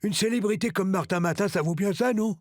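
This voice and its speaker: A man's voice: high-pitched, brisk, slight background noise, natural voice. A man voicing a brazen, greedy kidnapper boss in a French cartoon. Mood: smug. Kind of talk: French animated series dubbing.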